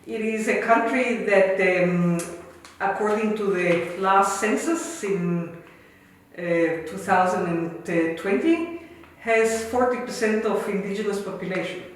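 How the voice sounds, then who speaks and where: A woman's voice: mid-range voice, slow pace, slight background noise. A woman in her fifties from Guatemala with a Guatemalan Spanish accent, earnestly telling a prepared personal story in formal phrasing as an interview guest. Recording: echoey room.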